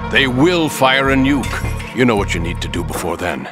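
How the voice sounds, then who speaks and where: An actor playing a weary, knowing captive colonel in a video game, speaking in a terse, impatient, explanatory way. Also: male voice, medium pitch, sing-song, moderate pace, natural voice. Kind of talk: video game cutscene acting. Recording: clear music behind the voice.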